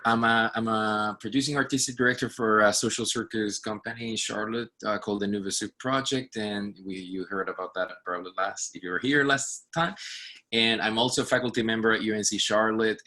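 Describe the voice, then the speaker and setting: A man with a low but bright, forceful voice talks casually on a video call. He is lively and self-deprecating, tripping over restarts and laughing them off. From Puerto Rico. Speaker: artistic director and faculty member.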